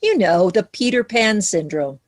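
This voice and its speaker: A woman playing a fond, wry widowed mother who works in banking, speaking in a measured, conversational way in a musical theatre read-through. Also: mid-range voice, expressive. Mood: wry, resigned. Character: widowed banker mother, middle-aged or older, fond and wry. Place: recorded remotely online.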